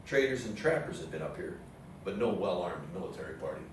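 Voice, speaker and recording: A man narrates a scripted history play on stage in a fairly low voice. He sounds far from the mic in a strongly reverberant room, with faint noise underneath. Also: big pitch swings, a little fast. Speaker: narrator in a readers theatre play. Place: live readers theatre performance in an echoey indoor space.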